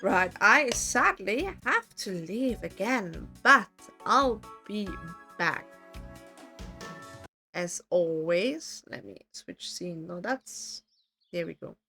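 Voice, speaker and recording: A woman streamer chatting casually with her viewers, her voice worn hoarse from talking too much, rambling and self-deprecating. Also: mid-range voice, expressive, slow pace, sharp tone, faint music behind.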